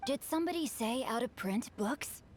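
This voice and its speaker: A man's voice: very high-pitched, animated, normal speed, a little hiss or hum. A voice actress playing a polite young male swordsman who loves books, courteous and formal in a game. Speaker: game character.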